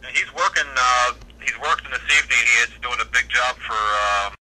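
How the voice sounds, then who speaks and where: A man's voice: medium pitch, steady tone, brisk, crowd noise. An American man giving practical advice over the phone as a service worker, patient, matter-of-fact and plain-spoken. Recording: telephone line audio.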